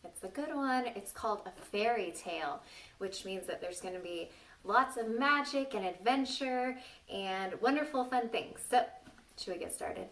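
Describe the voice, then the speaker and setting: A woman's voice: mid-range voice, animated, moderate pace, a bit bright. A woman reading a story aloud to young children, playfully stopping to ask them questions and exclaim. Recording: distant microphone.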